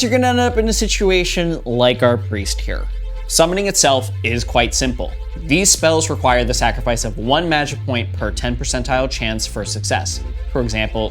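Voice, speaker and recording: A man explains tabletop rules casually in a medium-pitched voice. He is irreverent and sweary, dropping conspiratorial asides, with faint music behind him. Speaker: host of a tabletop RPG advice show.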